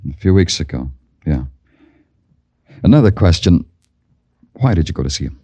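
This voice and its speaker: An American actor playing a brooding, wry police detective in an old radio crime drama, with slow, deliberate delivery. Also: male voice, low-pitched, sing-song, warm tone, dry recording. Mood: grave, pressing. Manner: slow, deliberate, hard-boiled noir delivery. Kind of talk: 1940s-50s radio crime drama acting.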